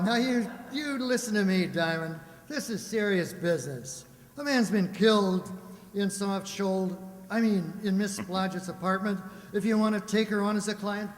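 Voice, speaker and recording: Man acting a high-pitched, blustering police lieutenant. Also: close to an everyday voice. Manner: stammering, huffy, officious. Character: flustered, blustering male police lieutenant, easily exasperated. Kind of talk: old-time radio comedy-detective acting. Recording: echoey hall, long reverb.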